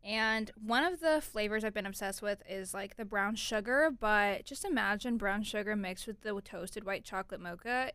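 Bright, crisp-voiced young woman host. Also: mid-range voice, no echo, studio quality. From Orlando, Florida, USA. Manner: chatty and upbeat, with frequent "like" and "you know". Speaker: podcast host. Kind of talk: casual solo podcast chat.

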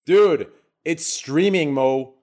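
A man in his late twenties hosting a casual podcast, animated and swearing, full of filler words. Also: on the high side, takes it slowly, a crowd in the background. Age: 20s. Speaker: podcast host.

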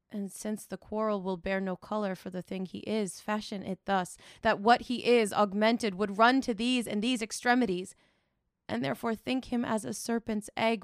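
A woman performing a Shakespearean soliloquy as a grave Roman nobleman, reasoning in a deliberate, brooding way. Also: mid-range voice, no echo, studio quality, natural voice.

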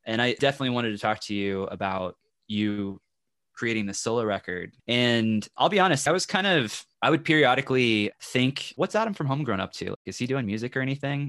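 A man's voice: medium pitch, fairly bright tone, no echo, clean studio sound. A man hosting an interview, asking questions in an earnest, affirming way and agreeing often. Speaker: podcast host interviewer. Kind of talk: interview conversation.

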